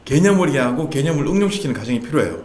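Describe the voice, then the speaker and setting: A man's voice: mid-range voice, sing-song, racing, a little mellow, roomy sound, a little hiss or hum. A man from Seoul, a guest expert, patiently explaining how children should study math in a casual talk show. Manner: patient, explanatory, slightly nervous. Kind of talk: explaining study methods in a chat.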